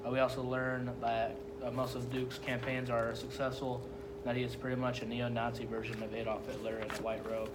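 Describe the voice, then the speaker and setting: A man's voice: mid-range voice, monotone, fairly quick. A teenage young man talking hesitantly and casually as a student asking questions in a group discussion. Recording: heavy noise and hum.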